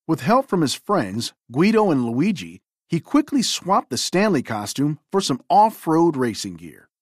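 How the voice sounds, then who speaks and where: Lady reading a kids storybook, super animated, dry clean sound. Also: deep voice, unhurried, dry recording. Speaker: storybook reader. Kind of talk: expressive scripted children's story narration.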